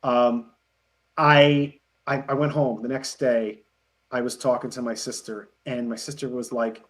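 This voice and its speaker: A man chatting casually as a podcast guest, telling anecdotes in an animated, halting way and often chuckling. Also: mid-range voice. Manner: animated storytelling, frequent chuckling, halting self-corrections. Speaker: podcast guest, parody web series creator.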